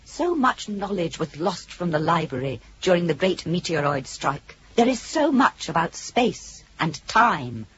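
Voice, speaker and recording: An actress playing a calm, calculating female ship computer in a radio drama, speaking in a formal, coldly logical way. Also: fairly low pitch, measured pace, few pauses. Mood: cool, calculating. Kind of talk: 1980s radio drama acting. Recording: dull old radio recording, light noise.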